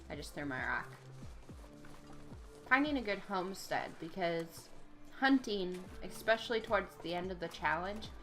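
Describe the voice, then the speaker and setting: A woman's voice: on the low side, a bit bright. A young adult woman with a cold, sounding a bit nasal and stuffed up, chatting casually as she plays a survival game and thinks aloud. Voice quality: slightly nasal. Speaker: let's play gamer. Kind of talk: casual gameplay commentary.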